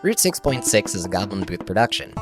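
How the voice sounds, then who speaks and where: A man's voice: fairly low pitch, sing-song, fairly quick, music in the background. A man reading a scripted podcast outro as the show's announcer.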